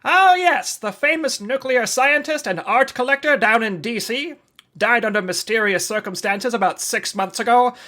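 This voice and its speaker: A woman's voice: a little high, expressive, moderate pace, pushed and bright. A woman playing an eager, theatrical secret agent who narrates like an old-time radio detective. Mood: jaunty, wisecracking. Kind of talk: 1940s radio detective acting.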